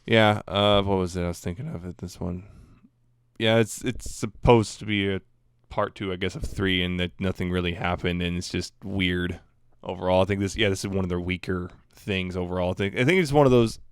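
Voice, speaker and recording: A man with a fairly low, smooth voice co-hosts a podcast. He goes through news items in a casual, conversational way, recorded dry without room sound. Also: steady tone, a little fast, dry recording. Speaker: podcast co-host reading fan news.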